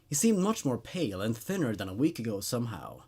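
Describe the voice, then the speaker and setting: A man's voice: medium pitch, big pitch swings, a little fast, dark tone, breathy edge. A man narrating a horror story in a dramatic, scripted style. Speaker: narrator, show host.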